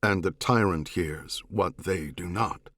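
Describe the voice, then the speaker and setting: Audiobook role: loyal alien soldier acted by a male narrator, low, stern, wary, formal, very dry sound. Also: takes it slowly, a bit bright, no room sound, heavily acted. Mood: grim, bitter. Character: loyal, battle-hardened alien warrior escort, male, earnest and wary. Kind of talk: audiobook character dialogue.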